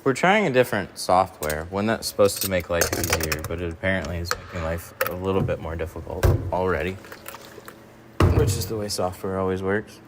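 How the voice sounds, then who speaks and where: Low-voiced man livestreaming tint work. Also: brisk, very noisy. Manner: relaxed, answers viewer questions while working. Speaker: window tint installer hosting a livestream. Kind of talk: casual livestream chat while working.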